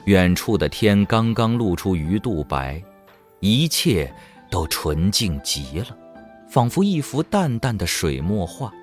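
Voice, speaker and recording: A man's voice: low-pitched, sing-song, slow pace. A man giving dramatic scripted narration for an audio drama. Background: faint music.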